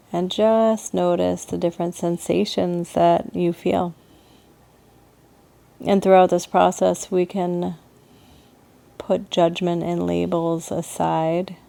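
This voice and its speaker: Slow, mellow woman guiding a meditation. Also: fairly low pitch, gravelly. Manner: soothing, gentle, unhurried with pauses. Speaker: meditation and yoga teacher. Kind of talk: guided meditation.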